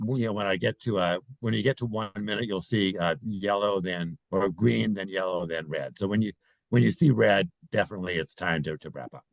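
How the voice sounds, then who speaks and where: A man's voice: sits low, fairly quick, creaky, no room sound, low-quality recording. A man moderating an online meeting on a video call, hesitant, with stammered restarts.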